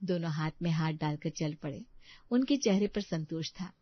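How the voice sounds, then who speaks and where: A woman's voice: low voice, expressive, moderate pace, no room sound, muffled recording. A woman reading a scripted story as its narrator.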